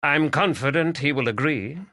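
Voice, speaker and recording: A man playing a composed, gallant English gentleman detective, firm, measured and courteous. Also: fairly high pitch, no echo, clean studio sound, pitched above the performer's own voice. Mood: reassuring. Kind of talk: audiobook character voice.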